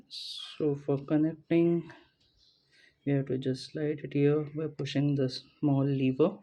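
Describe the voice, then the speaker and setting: A man gives an unscripted gadget walkthrough in a slow, flat delivery. His voice is mellow and middling in pitch. He speaks haltingly, as if working things out aloud, with faint noise behind him. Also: rough recording. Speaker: gadget unboxing vlogger. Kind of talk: casual unscripted product walkthrough.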